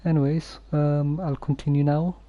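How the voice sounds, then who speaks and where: A game streamer giving casual live commentary in a hesitant, halting, subdued way. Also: medium pitch, little pitch movement, mellow, dull audio, slight background noise.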